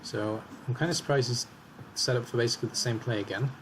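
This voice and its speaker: A man commentating his own match in a calm, analytical way as he talks through each move, slightly hoarse. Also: medium pitch, quick pace, noisy background. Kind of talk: casual game commentary.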